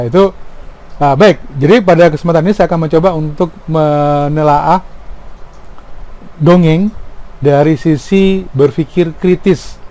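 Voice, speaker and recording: A man's voice: fairly high pitch, dark tone. A middle-aged man gives an informal explanatory monologue, reflective and fond of rhetorical questions and little tags seeking agreement. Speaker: speaker giving commentary on folk tales.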